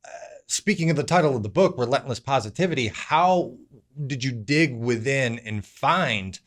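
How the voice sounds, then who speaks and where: A man's voice: mid-range voice, lively intonation, moderate pace, fairly bright tone. A man co-hosting a TV interview, asking questions conversationally and sometimes searching for words. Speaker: TV show co-host.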